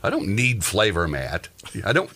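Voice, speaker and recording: A man's voice: fairly low pitch, huge pitch swings, moderate pace, bright, forceful tone. A man hosting a podcast in casual comic chat, joking and rambling through everyday stories. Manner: joking, rambling, tells exaggerated everyday anecdotes. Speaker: podcast host.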